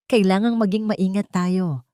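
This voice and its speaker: A woman's voice: medium pitch, steady tone, unhurried, mellow, dry recording, lightly acted. A woman storyteller acts out a scheming, wealthy wife in an audio drama. She is paranoid and accusing, dramatic in her delivery. The sound is close and dry. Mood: panicked, accusing.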